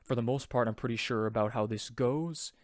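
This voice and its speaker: A man's voice: mid-range voice, fairly quick, no room sound, clean studio sound. A man teaching a song's vocal harmonies in a loose, chatty way, with many fillers and restarts. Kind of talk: casual harmony tutorial.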